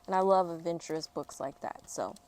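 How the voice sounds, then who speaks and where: A woman reading a children's picture book aloud in an expressive, unhurried way, with informal chatty asides. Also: fairly low pitch, slight background noise.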